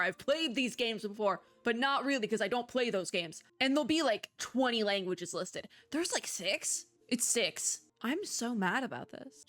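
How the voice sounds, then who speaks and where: A woman's voice: fairly high pitch, fairly bright tone. Chatty woman hosting game videos. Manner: chatty, rambling, informal. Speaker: video game demo host. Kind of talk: casual unscripted game commentary.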